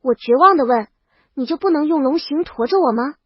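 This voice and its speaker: High-pitched woman narrating a comic story. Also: animated, fairly quick, no echo, muffled recording. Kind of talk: first-person comic scripted narration, princess heroine.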